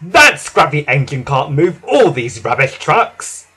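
A man's voice: fairly high pitch, huge pitch swings, unhurried, a bit bright, husky, full character voice. Guy doing a snooty cross train engine, pompous n huffy, swoops up and down, storybook. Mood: indignant. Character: haughty, impatient male steam engine. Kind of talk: children's storybook character voice.

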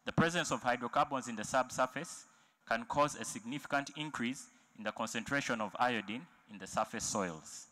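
Man, bright tone, conference talk, halting delivery, many fillers. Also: medium pitch. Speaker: conference presenter. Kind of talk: technical presentation. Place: large conference hall.

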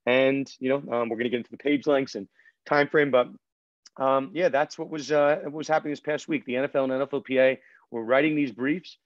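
A man's voice: mid-range voice, fairly even intonation, brisk, dry recording. Friendly thirties man, dry dull webcam audio. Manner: friendly, steers the talk, filler-heavy. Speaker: podcast co-host, lawyer. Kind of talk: casual legal podcast chat. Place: law office. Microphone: laptop webcam video call. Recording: dry, slightly dull video-call audio.

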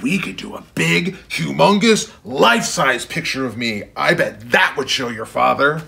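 A giant, exuberant imaginary monster from a storybook, acted out by a man. He booms and bellows in a bright, swooping voice. His words stretch out with childish, playful enthusiasm. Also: lively intonation, unhurried, gravelly, full character voice. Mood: excited. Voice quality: bellowing, booming.

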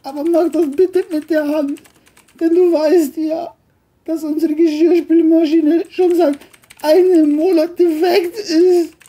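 A woman playing a doting elderly grandmother in an audio drama, speaking in a deliberate, formal, affectionate way. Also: extremely high pitch, level tone, dark tone. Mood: matter-of-fact, caring. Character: doting elderly grandmother leaving a loving note. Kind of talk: audio drama letter reading.

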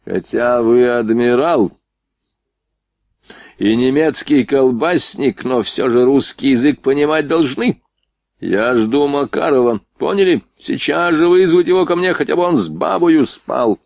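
A man's voice: medium pitch, measured pace, vocal fry, no echo, pitched above the performer's own voice. A man voicing a drunken, arrogant grand duke in an audiobook, imperious, contemptuous and bullying. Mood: angry, commanding. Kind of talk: audiobook character dialogue.

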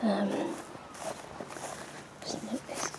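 A woman talks her way around a sunny allotment, slow and unhurried with a mellow, warm tone. Her chat rambles with plenty of ums, recorded on a handheld camera outdoors with a faint hiss behind her. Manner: chatty, rambling, frequent ums. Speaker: allotment vlogger. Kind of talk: casual gardening vlog narration. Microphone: handheld camera, speaker off screen.

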